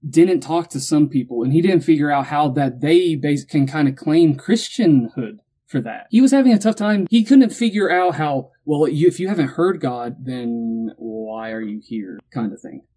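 A young man hosting a casual podcast chat, retelling a story in an animated way and acting out quotes. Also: fairly high pitch, normal speed, hardly any pauses, husky. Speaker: podcast host telling the story.

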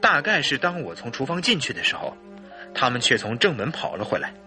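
An actor playing a distraught, nervous husband in a radio drama, speaking earnestly. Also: male voice, mid-range voice, big pitch swings, a little fast, bright, forceful tone, soft background music. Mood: dejected, agitated.

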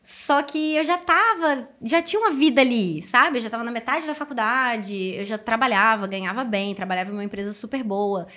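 Higher-pitched chatty Carioca woman. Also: fairly quick, few pauses, sharp tone. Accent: Carioca Brazilian Portuguese. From Rio de Janeiro, Brazil. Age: 30s. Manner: chatty, colloquial, laughs easily. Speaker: podcast guest, educator and speaker. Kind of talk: casual interview storytelling.

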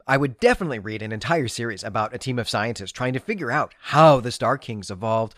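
A man's voice: medium pitch, fairly bright tone, no echo. A man with a mid-range voice presents a book review as a podcast host. His intonation swings widely as he speaks with emphatic, gushing enthusiasm in a conversational style. The sound is dry, with very little room in it.

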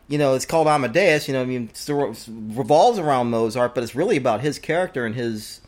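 A man's voice: mid-range voice, fairly quick. A man co-hosting a casual film discussion, hesitant, with many fillers and offhand swearing. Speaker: podcast co-host.

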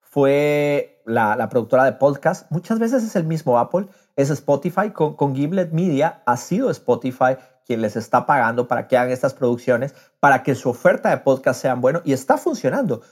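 A man's voice: medium pitch, expressive, normal speed, a little mellow. A man chatting casually on a podcast, dropping English phrases into his speech and acting out imagined quotes. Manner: drops English phrases into Spanish. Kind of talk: casual conversational podcast talk.

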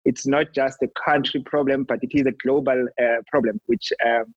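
A man's voice: mid-range voice, fairly even intonation, moderate pace, dry recording, muffled recording. Hesitant South African man on a call. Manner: hesitant, many fillers. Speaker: accountant guest. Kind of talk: casual remote introduction.

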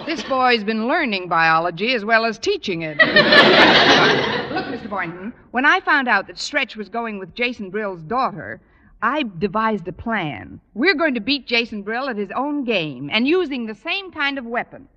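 A woman playing a wisecracking, scheming high school teacher, dry and crisp, in an old-time radio comedy. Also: very animated, a little slow, lo-fi sound. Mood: wry. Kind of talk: 1940s-50s radio comedy acting. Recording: old radio broadcast, hiss, studio audience.

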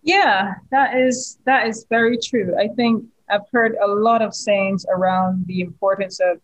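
A woman's voice: mid-range voice, fairly even intonation, measured pace, no echo, rough recording. Caribbean woman host, dry close mic, upbeat and teasing, laughs a lot. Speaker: host, interviewer. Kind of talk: casual interview conversation.

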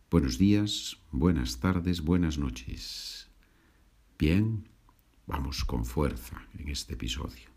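A man's voice: sits low, a little mellow, breathy edge. A man hosting a language-learning podcast, patiently repeating and translating each sentence. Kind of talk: slow bilingual teaching podcast.

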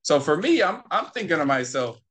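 A man speaking in a slightly high voice as an interview guest. He tells his story in a conversational, animated way, voicing each side of the exchange and hammering points home through repetition. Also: a little fast. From United States. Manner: animated, acts out quoted exchanges, repeats himself for emphasis.

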